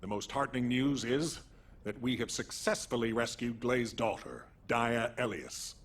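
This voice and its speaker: A man voices an earnest military commander at a middling pitch. He briefs formally and steadily in scripted video game style, with faint ambient noise behind him. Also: lightly acted. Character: composed, encouraging male military commander.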